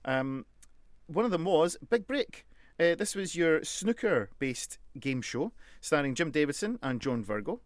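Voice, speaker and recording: Give a middle-aged man co-hosting a radio show. His voice sits a little high and is recorded close and dry. He chats in a jokey, casual way and slips into reading out bits of trivia. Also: sing-song, unhurried, no echo. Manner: jokey, chatty.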